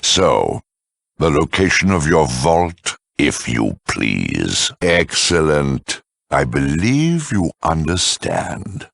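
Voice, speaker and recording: Gravelly, extremely deep mutant villain. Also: male voice, sing-song, a bit bright, dry recording, clean studio sound, exaggerated voice. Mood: silky menace. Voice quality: gravelly, raspy. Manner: mock-courteous, sardonic, theatrical, deliberate. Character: cruel, urbane super mutant lieutenant, menacingly polite villain. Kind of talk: video game villain dialogue.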